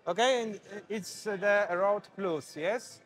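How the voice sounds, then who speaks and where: Host, Polish man, 30s, high voice, halting non-native English, fair hall. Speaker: interviewer, channel host. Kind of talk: casual trade-fair interview. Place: busy indoor bike expo hall. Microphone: clip-on mic.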